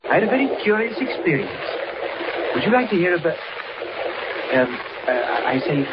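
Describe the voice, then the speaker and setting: Lively, fussy old gentleman, noisy recording. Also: on the high side, moderate pace, lo-fi sound. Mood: genial, chatty. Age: older. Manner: genteel, rambling, repeats himself. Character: chatty, genial, fussy elderly gentleman traveler. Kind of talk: 1940s radio serial acting.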